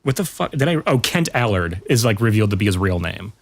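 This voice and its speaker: A man's voice: fairly low pitch, very animated, fast talker. A man talking casually as a podcast guest, in an informal way with rambling asides. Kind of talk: casual pop culture chat.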